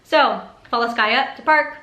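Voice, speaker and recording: Young female vlogger, high and bright, chatty storytelling style, roomy sound. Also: normal speed. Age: young adult. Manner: chatty, animated retelling with acted quotes. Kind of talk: casual story time vlog. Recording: roomy echo.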